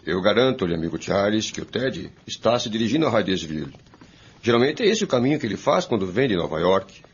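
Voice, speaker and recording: A hearty, courteous innkeeper from a radio play, acted by a man, with hugely swinging intonation and a gravelly edge. He reassures and encourages in a friendly way, on a dull, lo-fi recording. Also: fairly low pitch, very animated, fairly quick, full character voice. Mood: reassuring. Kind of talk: radio drama acting. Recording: dull lo-fi audio.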